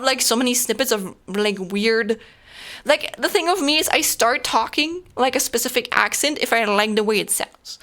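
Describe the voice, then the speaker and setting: A young woman with a German accent chats loosely with her stream audience. She rambles, leans on fillers and restarts often. Her voice is bright and crisp and sits a little high. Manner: rambling, filler-heavy, frequent laughter. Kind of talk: casual chat with viewers.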